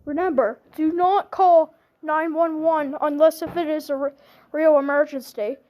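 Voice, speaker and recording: A woman delivering an improvised mock emergency alert announcement in a halting way, often repeating herself and restarting. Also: high-pitched, steady tone, dull audio.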